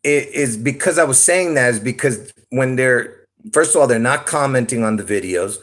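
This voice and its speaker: A man from Lebanon talks into his phone on a solo livestream. His voice sits at an ordinary middle pitch. He wanders from point to point, chuckling and checking in with "all right?". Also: expressive, moderate pace, fairly bright tone. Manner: meandering, stops to ask "okay?" and "all right?", chuckles often. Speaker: YouTube livestreamer. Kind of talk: rambling solo livestream monologue.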